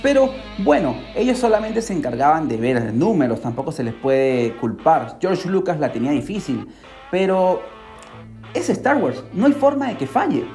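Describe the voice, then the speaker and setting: Animated man telling stories over music. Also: fairly high pitch, moderate pace. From Peru. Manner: animated, rhetorical questions and asides to listeners. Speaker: podcast host. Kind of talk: casual storytelling podcast narration. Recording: music behind the voice.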